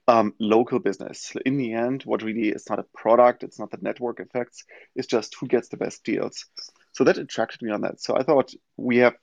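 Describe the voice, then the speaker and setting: A man in his forties from Germany with a German accent, answering interview questions in a thoughtful way with many pauses. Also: medium pitch, gravelly, no echo. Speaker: guest, company founder. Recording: dull lo-fi audio-room stream.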